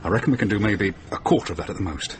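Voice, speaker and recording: An actor playing a worldly, wisecracking middle-aged van driver in a radio drama, blokey and matter-of-fact. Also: male voice, on the low side, rapid-fire, natural voice. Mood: decisive, wry. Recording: noisy radio drama with background ambience.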